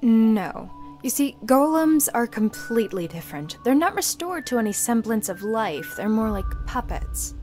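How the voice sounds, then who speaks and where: A woman's voice: on the high side, sing-song, moderate pace, few pauses, a little mellow, faint music behind. A woman playing a studious, serious young necromancer in a visual novel, speaking in a matter-of-fact, explanatory way. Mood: explaining. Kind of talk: scripted visual novel acting.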